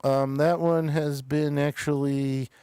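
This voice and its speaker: A man hosting a show, chatting casually through episode reviews in a halting way, pausing often mid-thought. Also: fairly high pitch, level tone, mellow, clean tone, dry recording, studio quality.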